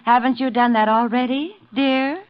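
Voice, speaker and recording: An actress playing a coy, breezy cocktail-party hostess with an arch, playful manner in old radio drama. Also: female voice, on the high side, moderate pace, clear, resonant tone, no echo, lightly acted. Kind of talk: 1940s-50s radio drama acting. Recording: dull old radio recording with music.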